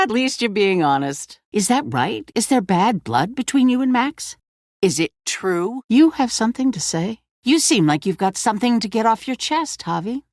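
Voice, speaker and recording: An actress playing a poised, commanding woman who leads a survivor settlement in a video game, speaking in a measured, courteous but firm way. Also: a little high, no echo, clean studio sound. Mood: probing, wry. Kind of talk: dramatic video game dialogue.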